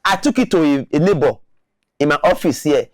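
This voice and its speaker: A man's voice: fairly high pitch, expressive, fairly quick, no echo, clean studio sound. A man giving argumentative opinion commentary, repeating words for emphasis. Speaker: online commentator.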